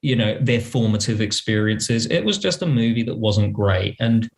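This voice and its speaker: A man's voice: fairly low pitch, expressive, normal speed. A man speaking as a podcast guest, discussing film conversationally in an articulate, opinionated way with emphatic phrasing. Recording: roomy, echoing sound.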